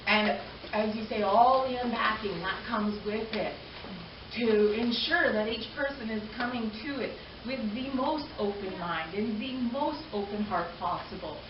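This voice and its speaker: Earnest woman in her forties, far off. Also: medium pitch. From Canada. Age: 40s. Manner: earnest, heartfelt, repeats phrases for emphasis. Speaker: panel speaker. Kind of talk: personal talk to a gathering. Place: community arts event hall. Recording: echoey room, distant mic, dull sound, background noise.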